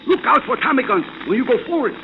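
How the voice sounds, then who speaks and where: An actor playing a clipped, urgent colonel in a 1940s radio drama. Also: male voice, very high voice, sing-song, fast talker. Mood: urgent, conspiratorial. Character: Japanese-American colonel, secret ally, clipped and urgent. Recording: noisy, muffled old radio recording.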